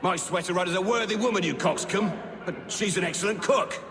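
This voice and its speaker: A man's voice: high voice, fairly quick, natural voice. An actor playing an impatient Saxon nobleman in a video game, with formal, archaic, indignant phrasing. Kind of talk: video game dramatic acting. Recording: echoey hall, loud crowd chatter behind.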